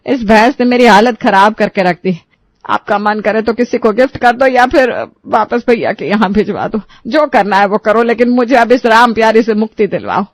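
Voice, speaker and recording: A woman's voice: a little high, normal speed, pauses often, no room sound, close to an everyday voice. A woman voicing an indignant elderly mother-in-law in a cartoon story, scolding sharply and dramatically. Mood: fed up.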